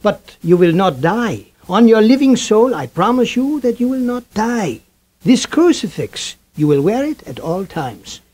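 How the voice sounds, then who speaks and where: Elderly professor, vampire hunter, solemn, formal, high lively voice, radio drama. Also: male voice, measured pace, heavily acted. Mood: grave. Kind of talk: 1970s radio drama acting.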